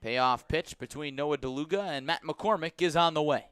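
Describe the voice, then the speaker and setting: A man calling baseball live on radio from a press box as play-by-play announcer, with a measured delivery that lifts on each pitch. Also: fairly bright tone, smooth, dry recording. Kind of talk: live radio sports commentary.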